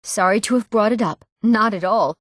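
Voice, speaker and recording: A woman's voice: medium pitch, moderate pace, no room sound, studio quality, close to an everyday voice. A young woman voicing an eager, proud young Nord townswoman in scripted fantasy game dialogue, with an earnest delivery. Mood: conversational. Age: young adult. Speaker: video game voice actor.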